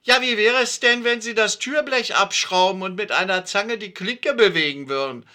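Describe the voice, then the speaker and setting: A man voicing a sharp-tongued, nosy middle-aged neighbor woman in a comic story read aloud, sarcastic and mocking. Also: high voice, normal speed, pitched above the performer's own voice.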